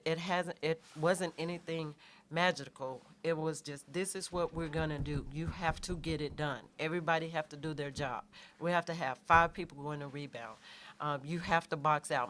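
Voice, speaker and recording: A middle-aged woman, a head coach answering questions in a halting way, with many fillers and restarts. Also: low-pitched, slight background noise. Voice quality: soft voice. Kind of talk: postgame press conference answers.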